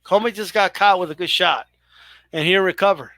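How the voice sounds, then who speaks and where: A man's voice: high-pitched, fairly quick, sharp tone, no echo. A young man hosting a live boxing stream, chatting casually and profanely with his viewers. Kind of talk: casual live commentary to chat.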